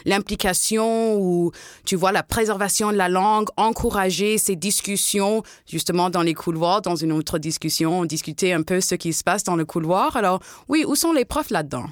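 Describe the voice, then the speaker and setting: A woman's voice: on the low side, normal speed, no room sound, studio quality. A woman moderating a panel discussion in an encouraging, thoughtful way.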